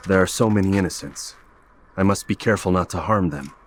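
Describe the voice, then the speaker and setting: A man's voice: low-pitched, brisk, close to an everyday voice. A man playing a stoic, principled young assassin in a video game cutscene, speaking tersely and earnestly. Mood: tense. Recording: faint background noise.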